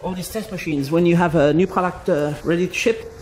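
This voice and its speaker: Brisk off-camera interviewer on a noisy factory floor. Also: male voice, on the high side, darkish tone. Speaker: video interviewer. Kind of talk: off-camera questions on a tour.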